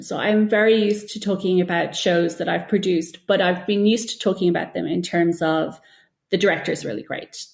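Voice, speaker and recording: A woman's voice: mid-range voice, creaky. A woman answering as an interview guest, conversational and self-deprecating, telling anecdotes and acting out what people said. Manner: self-deprecating, anecdotal, acts out reported remarks. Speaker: guest author and former TV producer. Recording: roomy, echoey sound.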